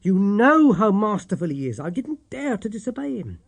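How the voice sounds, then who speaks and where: A man's voice: high-pitched, sing-song, normal speed, creaky, pitched above the performer's own voice, full character voice. A man voicing a long-suffering London landlady in an audiobook, anxious, earnest and deferential.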